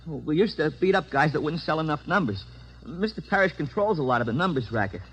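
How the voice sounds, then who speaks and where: Husky, high-pitched anxious young American hoodlum. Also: male voice, animated, quick pace, rough recording. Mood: subdued, bitter. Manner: stammering, pleading, slangy. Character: anxious, hot-headed young small-time male hoodlum. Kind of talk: 1940s-50s radio crime drama acting. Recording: old radio drama recording.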